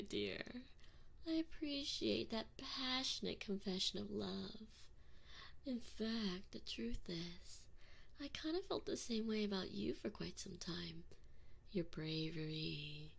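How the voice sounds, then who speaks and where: Woman voicing a female alien captor, sadistic, possessive, sweetly menacing, gravelly fry, unhurried, close binaural ASMR roleplay. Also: medium pitch, heavily acted. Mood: smug, possessive. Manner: taunting, sweetly menacing, theatrical, breaking into giggles. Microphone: close binaural microphone.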